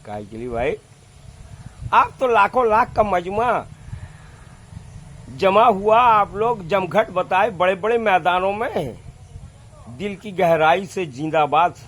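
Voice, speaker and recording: A man's voice: sits high, expressive, slow pace, pauses often, a bit bright, a little hiss or hum. An Indian-accented man delivering an impassioned, unscripted monologue, scolding and sarcastic, with colloquial phrasing, repetition and rhetorical questions. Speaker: vlogger giving political commentary.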